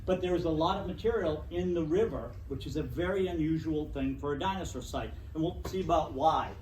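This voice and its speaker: A middle-aged man giving a loud, projecting talk to a club audience in a meeting room. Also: on the high side, a little fast, a little hiss or hum. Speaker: guest speaker. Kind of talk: public lecture to a club. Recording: echoey room, distant mic.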